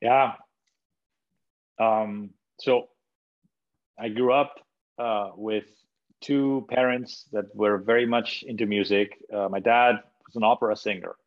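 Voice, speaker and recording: A man's voice: lowish voice, steady tone, slow, pauses often, muffled recording. A man from Spain, a podcast guest, talking casually about music with many restarts and hesitations. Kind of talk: casual interview conversation.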